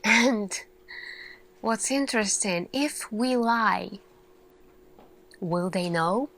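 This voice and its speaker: Slow, pensive woman scientist played by an actress. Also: mid-range voice, a bit bright. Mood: pensive, grave. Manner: slow, deliberate, pensive, with long pauses. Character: earnest, thoughtful woman lead scientist addressing her team. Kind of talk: soft-spoken ASMR roleplay monologue.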